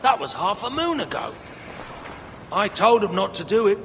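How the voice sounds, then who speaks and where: An actor playing an excitable, grumbling dwarf craftsman in a video game, with a blustering, colloquial manner. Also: high voice, normal speed, exaggerated voice. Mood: rambling, concerned. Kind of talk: video game cutscene acting. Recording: reverberant hall, faint background noise.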